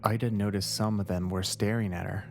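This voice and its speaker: A man narrating a scary story from a script in measured, deliberate phrases. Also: fairly low pitch, fairly even intonation, gravelly, a little mellow, slight background noise. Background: background music.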